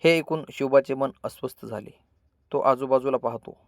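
A man's voice: medium pitch, moderate pace, gravelly, darkish tone, dry recording. Male storyteller, close and dry. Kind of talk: scripted children's story narration.

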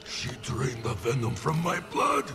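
An actor playing a hulking, wounded, vengeful villain in a video game, strained and menacing. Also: male voice, medium pitch, measured pace, over loud music, lightly acted. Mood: pained vengeful.

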